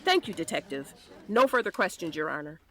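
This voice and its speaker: An actress playing a determined defense attorney, questioning in a deliberate, emphatic courtroom manner for an audio drama. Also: female voice, on the low side, big pitch swings, a bit bright. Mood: persuasive. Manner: deliberate, emphatic cross-examining. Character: determined female defense attorney, pointed and composed.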